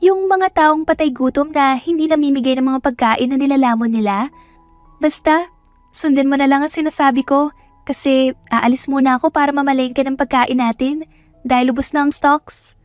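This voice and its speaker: A woman's voice: high voice, moderate pace, no room sound, faint music behind, pitched above the performer's own voice, natural voice. A woman voicing a bubbly, kind, chatty young female housemate in a romance audio drama. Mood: chatty, instructive. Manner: exclaiming, chatty, bossy in a friendly way.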